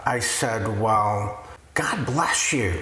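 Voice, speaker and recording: A man guest slowly telling a friendly story about meeting a family, with an easygoing, affirming manner. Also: fairly low pitch, bright, forceful tone, roomy sound, a little hiss or hum. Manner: slow, chatty, affirming with many yeahs. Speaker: ministry guest. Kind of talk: casual storytelling.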